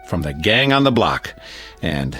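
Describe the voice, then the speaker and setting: An American actor playing a genial middle-aged neighbor giving a folksy, hesitant, sentimental toast in a radio drama. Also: male voice, low voice, very animated, unhurried, a bit bright, soft background music, exaggerated voice. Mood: warm sentimental. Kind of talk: radio drama acting, party speech.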